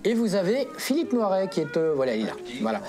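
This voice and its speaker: A woman's voice: medium pitch, big pitch swings, quick pace. A woman presenting a film column in casual panel talk, with an emphatic, repetitive, slangy way of talking. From of Italian origin. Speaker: film columnist on a geek culture show.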